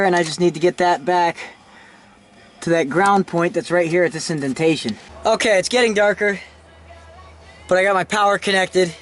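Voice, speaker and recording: A man casually narrating a hands-on car tutorial in an informal, self-deprecating way, with some mild salty slang. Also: high voice. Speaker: host of a DIY car how-to video. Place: in and around a vehicle while working on it.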